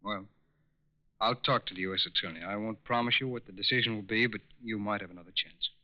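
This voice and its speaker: An actor playing a calm, firm, fatherly federal agent who questions formally in a 1940s radio crime drama. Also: on the low side, fast, natural voice. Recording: muffled 1940s radio recording.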